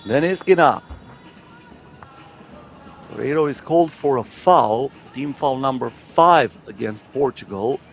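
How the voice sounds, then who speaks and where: A man's voice: mid-range voice, a little slow, long pauses, lo-fi sound, soft background music. A man giving live play-by-play commentary on a basketball game as a sports commentator.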